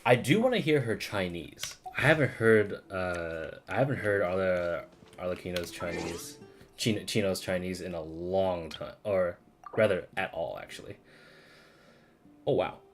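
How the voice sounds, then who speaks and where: A man's voice: sits low, measured pace, soft background music. A man streaming a video game with casual, slangy, sweary reactions and muttered asides. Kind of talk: casual reacting commentary over gameplay.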